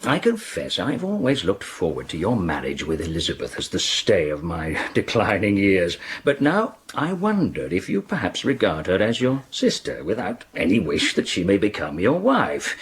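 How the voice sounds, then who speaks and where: Actor as husky, expressive elderly father. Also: deep voice, moderate pace, few pauses, heavily acted. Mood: gently concerned. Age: older. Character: elderly gentleman father, anxious and gently probing. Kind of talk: radio drama acting.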